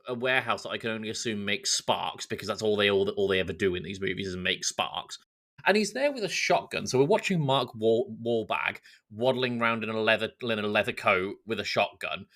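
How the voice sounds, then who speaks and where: A man co-hosting a podcast, talking casually about films in an animated, mocking storytelling way. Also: lowish voice, brisk. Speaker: podcast co-host. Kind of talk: casual film discussion.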